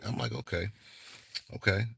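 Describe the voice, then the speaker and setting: A man's voice: on the low side, normal speed, creaky, sharp tone, slightly rough, no room sound, clean studio sound. A young man from the northeastern United States giving a rambling, laid-back monologue full of fillers and slang. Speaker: channel host. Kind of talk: casual monologue vlog.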